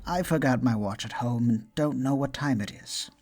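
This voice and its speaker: A man voicing a courteous young stranger who is friendly on the surface but quietly menacing. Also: medium pitch, moderate pace, voice pushed up, close to an everyday voice. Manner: light, courteous.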